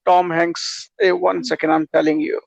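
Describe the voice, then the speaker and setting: A man's voice: on the high side, flat delivery, moderate pace, gravelly, no echo. A man with an Indian accent practicing English on a video call, asking questions and repeating words back. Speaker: English learner. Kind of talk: casual online conversation lesson.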